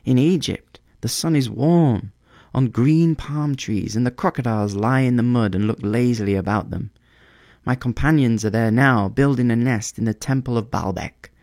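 A man's voice: mid-range voice, moderate pace, a little mellow, no echo, studio quality, voice pushed up, close to an everyday voice. A man voicing a small, devoted male swallow in a storybook, speaking in a lilting, yearning way.

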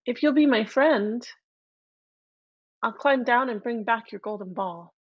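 A woman voicing a lonely male frog who gently coaxes and pleads for a friend. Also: on the high side, measured pace, husky, no echo, lo-fi sound. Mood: pleading. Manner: gentle, coaxing.

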